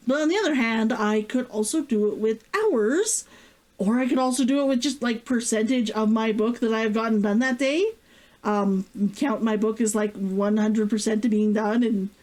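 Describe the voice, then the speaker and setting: A woman with a high-pitched voice and a highly animated delivery. She takes her time as she talks, in the giggly, effusive way of an online host sharing her own writing. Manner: giggly, effusive, drawn-out sighing interjections. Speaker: vtuber host and author. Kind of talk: casual excited chat and reading aloud from own novel.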